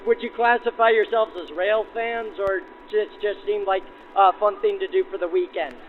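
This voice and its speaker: A man's voice: high voice, steady tone, normal speed, phone-quality sound, slight background noise. A man working as host and interviewer, presenting in the manner of a 1940s radio reporter. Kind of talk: scripted narration and field interviews backdated to 1940s radio. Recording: band-limited 1940s radio-style sound.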